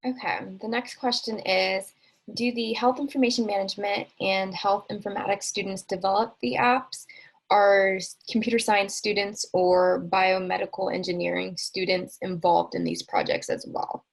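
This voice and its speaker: A woman with a husky, creaky voice moderating a webinar, reading out prepared questions. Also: mid-range voice, fairly even intonation, moderate pace, rough recording.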